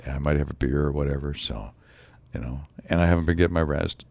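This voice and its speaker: A man hosting his own show in a rambling solo monologue, his voice a bit raspy from a slight cold. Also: extremely low pitch, fast, darkish tone, no echo. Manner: rambling, musing, frequent asides. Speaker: show host.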